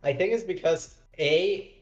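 A man's voice: sits high, measured pace, reverberant, highs cut off, over loud music. A man in casual podcast chat, laid-back and hesitant with lots of fillers, on a headset mic. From Ecuador heritage.

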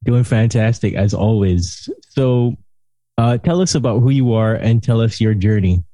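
A man from Barbados hosting a podcast, reading a measured scripted intro and then asking questions. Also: fairly low pitch, mellow, no echo. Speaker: podcast host.

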